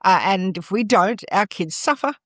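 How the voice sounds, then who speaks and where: A woman's voice: mid-range voice, animated, normal speed, a bit bright, dry recording, studio quality. An older Australian woman, a former school principal, talking emphatically as a guest in an interview. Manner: emphatic, leans on "absolutely" and "et cetera". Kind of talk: interview conversation.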